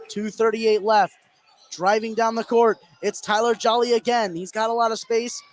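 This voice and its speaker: A man calling live basketball play-by-play for radio, an excitable announcer whose voice climbs toward shouting on big plays. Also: sits high, normal speed. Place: courtside in a school gym. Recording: clear gym noise behind the voice. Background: gymnasium ambience.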